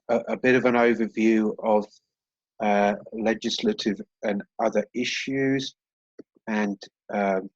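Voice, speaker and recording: A man's voice: fairly low pitch, no echo. Hesitant man presenting over dull call audio. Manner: hesitant, many fillers and restarts. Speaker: professor presenting research. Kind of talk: webinar presentation. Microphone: video call.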